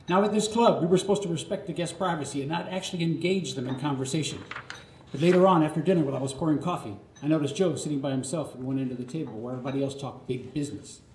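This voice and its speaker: A middle-aged man narrates a personal story in live radio theatre. His fairly high voice is earnest and theatrical, building phrase by phrase. The room rings around him, with noise behind. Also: darkish tone. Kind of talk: live autobiographical monologue, scripted narration. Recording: roomy live theatre, clear background noise.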